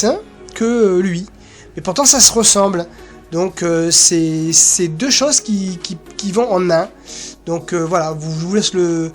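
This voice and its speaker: A presenter giving an informal, unscripted screen-share walkthrough, rambling casually with little filler sounds. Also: medium pitch. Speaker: presenter of an association's website.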